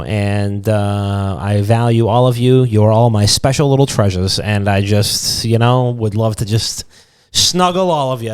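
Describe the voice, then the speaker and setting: A man's voice: medium pitch, smooth. Irreverent man bantering on a podcast. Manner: irreverent, profane, riffing. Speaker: podcast co-host. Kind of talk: loose comedic podcast banter.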